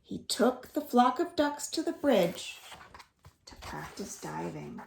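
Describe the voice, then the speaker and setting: A woman reading a children's story aloud in an expressive, deliberate way, as a storyteller for young children. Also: fairly high pitch, vocal fry, a little hiss or hum. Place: indoors at home. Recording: roomy echo of a home room.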